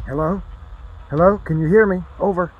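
A man's voice: sits high, moderate pace, natural voice. A man playing an anxious survivor alone in a vault, speaking urgently in an audio drama over a handheld radio. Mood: anxious, relieved. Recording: muffled, noisy hand-held radio.

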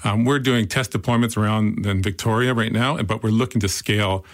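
A man with a Canadian accent, a founder explaining his product in an interview with quick enthusiasm. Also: fairly low pitch, fairly even intonation. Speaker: startup founder. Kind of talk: interview answers.

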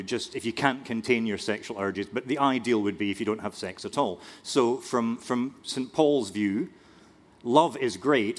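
A man speaking as a panelist, lecturing on history with dry self-deprecating humor. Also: on the low side. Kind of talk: academic panel discussion.

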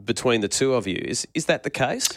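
A man's voice: mid-range voice, expressive, a little fast, no echo, clean studio sound. A man asking long, probing interview questions, hesitant as he searches for words.

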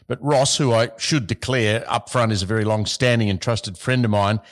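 A man, hosting an interview podcast in a measured, friendly way. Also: on the low side, steady tone. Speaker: podcast host.

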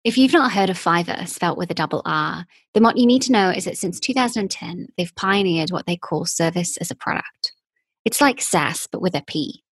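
A woman hosting a podcast, reading scripted narration in a measured way. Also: on the low side, animated, no room sound. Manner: measured, clear delivery of written lines. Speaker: podcast host.

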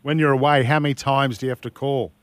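A man's voice: medium pitch, steady tone, quick pace, no echo. A middle-aged man hosting a radio show from the studio, jovial and matey. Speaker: radio host. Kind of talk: casual radio interview.

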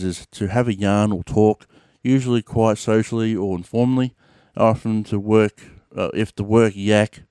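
A man's voice: lowish voice, warm tone, clear, resonant tone, no room sound, clean studio sound. A man with an Australian accent hosting his podcast in a casual monologue, rambling with lots of fillers and the odd swear word. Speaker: podcast host. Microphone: podcast mic.

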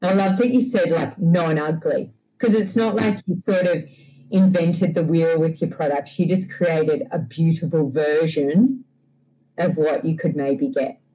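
A woman's voice: sits low, measured pace, warm tone, a little hiss or hum, poor audio. A woman hosting an interview, enthusiastic and gushing. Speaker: podcast host. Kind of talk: casual interview.

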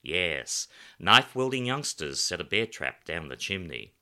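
A man's voice: low-pitched, unhurried, heavily acted. A male narrator voicing a flamboyant, wry writer in his thirties with a faded American accent. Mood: wry, animated. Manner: lively, wry, macabre storyteller. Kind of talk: audiobook character voice.

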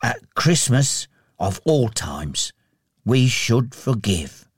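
A man's voice: fairly low pitch, expressive, slow pace, no echo, studio quality, voice pushed down. A man voicing a kindly, forgiving, humble family father in a storybook, tender and earnest.

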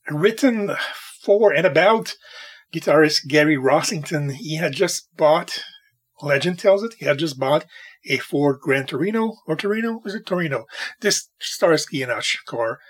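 Raspy late-night podcast man. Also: fairly high pitch, fairly bright tone. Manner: opinionated, rambling, talks to himself. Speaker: podcast host. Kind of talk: casual solo music podcast. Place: home recording at night.

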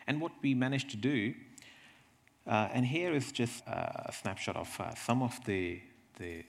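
Male presenter, low creaky voice, explanatory slide talk with halting phrasing. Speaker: presenter from a health service.

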